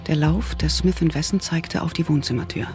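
A woman's voice: deep voice, a little fast, music in the background. A woman narrating an audio thriller from a script.

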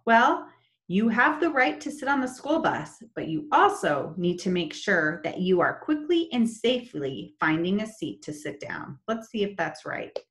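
A young woman teaching children in an online lesson, talking slowly and in a sing-song way over a laptop webcam. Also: mid-range voice, very animated, fairly bright tone. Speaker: special education teacher. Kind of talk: online lesson with screen share. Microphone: laptop webcam, video call.

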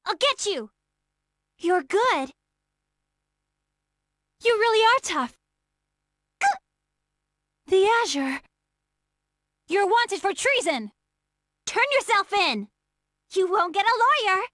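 A woman's voice: very high voice, big pitch swings, slow pace, pauses often, no room sound, studio quality, heavily acted. An actress playing an earnest, easily flustered young female military officer in emotional, dramatic video game battle lines. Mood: urgent, determined, then stern, formal. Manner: emotional, dramatic, swinging from polite pleas to shouts.

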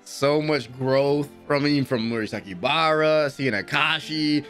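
A young American man giving excited, slangy reaction commentary at home on a close microphone, with an exclamatory manner. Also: a little high, measured pace, soft background music. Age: young adult. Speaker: reaction video host. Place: small room at home. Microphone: close desk microphone with headphones.

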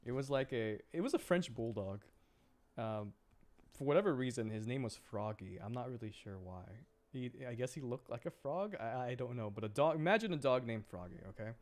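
A man's voice: medium pitch, lively intonation, a little fast. A man chatting casually on a game livestream, rambling and often repeating his words as he reacts to viewers. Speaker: game streamer.

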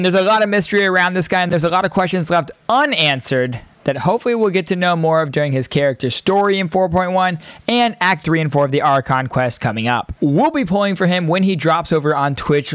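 A man's voice: a little high, no room sound. A man in his thirties giving enthusiastic, casual reaction commentary as a video host, close on a desk microphone. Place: small indoor streaming room. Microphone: close desk microphone on boom arm.

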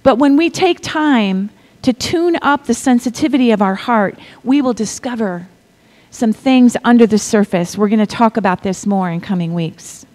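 A middle-aged woman preaching a sermon, reading scripture in a measured way and dropping to a whisper for effect. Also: on the high side. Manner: slow, deliberate delivery with pauses and soft whispered stretches; asks the congregation to repeat lines.

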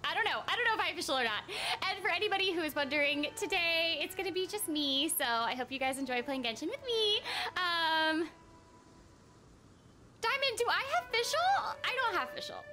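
An excitable, giggly young woman chatting casually on a live game stream, often repeating her phrases, close on a desk microphone. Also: extremely high pitch, normal speed. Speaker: streamer and voice actress. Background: game music.

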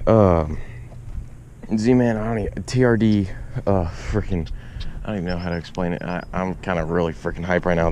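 A young man, excitedly narrating a fishing trip to camera beside an outdoor pond, filmed on an action camera. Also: low-pitched, a little hiss or hum. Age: young adult. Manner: excitable, slangy, addresses viewers as y'all. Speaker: fishing vlogger. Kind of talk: casual self-filmed narration. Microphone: action camera on chest and at arm's length.

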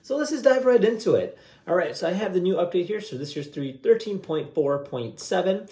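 Young man, higher-pitched voice, casual software walkthrough, conversational, upbeat, echoey room. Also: lively intonation, brisk. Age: young adult. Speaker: host of a software tutorial channel. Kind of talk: casual screen-share tutorial with review. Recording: roomy, echoey indoor sound.

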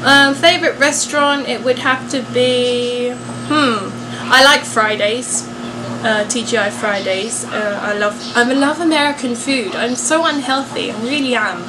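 A young woman talks in a fairly high voice, casually answering questions for her viewers. She is chatty and pokes fun at herself. There is clear noise behind her voice. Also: unhurried. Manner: chatty, self-joking. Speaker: vlogger and singer.

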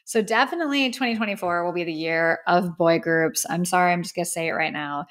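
A woman's voice: on the low side, fast, fairly bright tone. A woman in her thirties from the United States, hosting a solo podcast and giving her opinions in an earnest, emphatic, conversational way. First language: English. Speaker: podcast host. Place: indoor studio with a plain backdrop.

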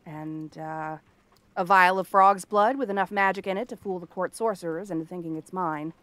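A woman's voice: fairly low pitch, steady tone, normal speed, a bit bright, no room sound, lightly acted. A woman playing a cranky exiled princess turned frog girl in a fantasy ASMR roleplay, haughty, sarcastic and theatrical. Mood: grudgingly conceding. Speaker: voice actress.

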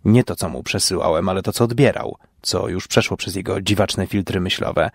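A man's voice: low-pitched, a little fast, creaky, breathy edge, no echo, clean studio sound. A man reading first-person fiction in a dramatic storytelling style. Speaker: storyteller, audio show host.

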